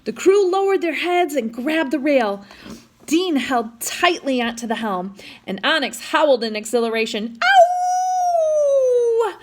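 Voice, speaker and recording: Woman, children's story narrator, high pitch, animated swooping intonation, dramatic read-aloud delivery. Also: moderate pace, a little hiss or hum. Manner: dramatic, with asides to young listeners. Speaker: storybook reader.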